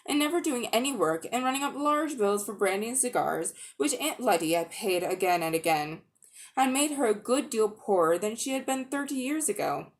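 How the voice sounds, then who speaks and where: A woman narrating a children's novel as a dramatic, expressive audiobook reading. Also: a little high, normal speed. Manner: expressive, storytelling delivery. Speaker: audiobook reader.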